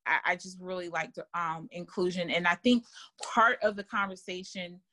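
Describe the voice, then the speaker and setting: A woman's voice: lowish voice, steady tone, normal speed, pushed and bright. A woman who grew up in Germany, speaking as an author and guest in a podcast interview, earnest and often repeating words as she thinks.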